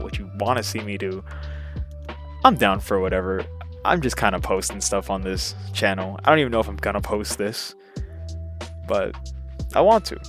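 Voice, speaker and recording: A man in his twenties rambling casually and hesitantly into an old webcam, with a self-deprecating manner. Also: fairly low pitch. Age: 20s. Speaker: YouTuber.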